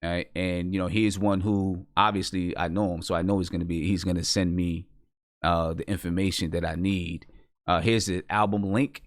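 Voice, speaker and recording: A man's voice: fairly low pitch, no room sound, clean studio sound. A man hosting a live music review stream, chatting casually and often checking in with his listeners. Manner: conversational, repeats and checks in with listeners. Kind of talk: casual livestream chat to viewers.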